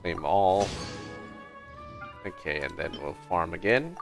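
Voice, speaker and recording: A man with a low-pitched voice talks his way casually through a game on a livestream. He speaks slowly in short, thinking-aloud phrases, with music clearly playing behind him. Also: animated. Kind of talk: casual gaming livestream commentary.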